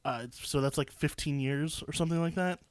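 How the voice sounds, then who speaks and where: A man in relaxed podcast chat, his voice nasal and stuffed up. He talks with dry, joking asides, and the sound is close and dry, with no room echo. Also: fairly high pitch, fairly quick, clean tone. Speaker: podcast co-host. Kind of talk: casual chat. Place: cold basement.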